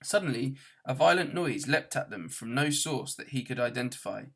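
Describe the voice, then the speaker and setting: A man narrates a storybook as an audiobook reader. His pitch is medium and he reads from the script. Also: expressive, normal speed, creaky, a bit bright.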